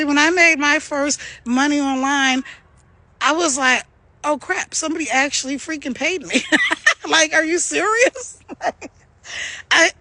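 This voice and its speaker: A woman with a gravelly voice, talking casually to her phone camera while out walking, upbeat and chatty with frequent laughs. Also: sits high, a bit bright, dry recording. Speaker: online business vlogger. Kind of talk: casual direct-to-camera pitch. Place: outdoors, walking. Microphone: phone held by hand.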